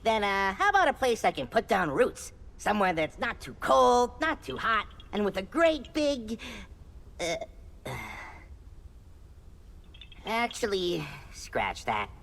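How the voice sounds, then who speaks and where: A woman's voice: medium pitch, sing-song, slow pace, gravelly, fairly bright tone, heavily acted. A woman voicing a small, boastful but good-hearted male wanderer in a game, talking in a casual, folksy swagger. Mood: bargaining, sheepish. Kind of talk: video game cutscene acting.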